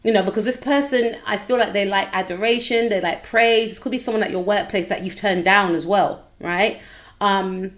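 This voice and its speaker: A woman with a slightly croaky voice giving a chatty tarot reading straight to her viewers, often checking in with them. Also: medium pitch, fairly even intonation, normal speed. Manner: chatty, repetitive, addresses viewer directly. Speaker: tarot reader. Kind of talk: casual tarot reading to camera.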